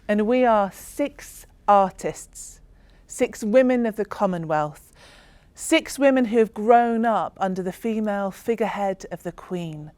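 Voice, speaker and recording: Slow, solemn woman narrator, dry sound. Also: mid-range voice, a little mellow, clear, resonant tone, no echo. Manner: slow, reflective, ceremonial. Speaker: storyteller and narrator. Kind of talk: solemn spoken-word narration.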